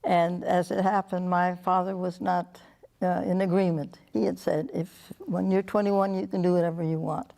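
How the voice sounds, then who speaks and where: An older woman, a nun, recounting in a measured, deliberate way how she followed her calling. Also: lowish voice, level tone, vocal fry, warm tone. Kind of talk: oral history interview.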